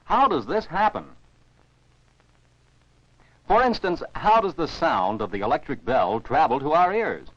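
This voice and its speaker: A man's voice: fairly high pitch, very animated, measured pace, long pauses, fairly bright tone, a little hiss or hum. An American man narrates scripted science for children as an educational film narrator, in a didactic, carefully enunciated way. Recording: dull, dry 1949 film soundtrack.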